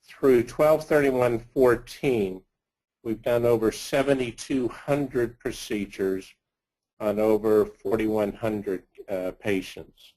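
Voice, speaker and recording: A man giving a slide presentation of financial results as a company executive, speaking haltingly in short phrases. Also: lowish voice, mellow, low-quality recording.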